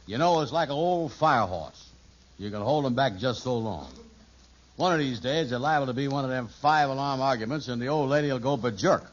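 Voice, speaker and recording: An actor playing a plain-spoken friend in a 1940s radio sitcom, speaking in a folksy dialect. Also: male voice, medium pitch, brisk, natural voice. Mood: cautioning. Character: plain-spoken, folksy man advising his married friend. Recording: muffled old radio recording, faint hiss.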